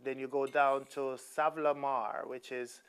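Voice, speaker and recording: A Jamaican chef, a man, explaining things slowly and haltingly on a cooking show, with many pauses and ums. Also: mid-range voice, little pitch movement, smooth. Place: studio kitchen.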